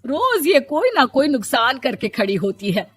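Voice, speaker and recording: A woman voicing a stern, disapproving older grandmother and mother-in-law in a moral-story cartoon, with a firm, admonishing manner and a husky voice. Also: sits high, sing-song, normal speed, faint music behind, exaggerated voice. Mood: complaining.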